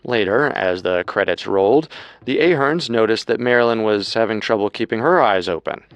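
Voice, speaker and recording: Low-pitched man narrating true crime. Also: moderate pace, clear, resonant tone, no echo. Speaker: co-host and narrator.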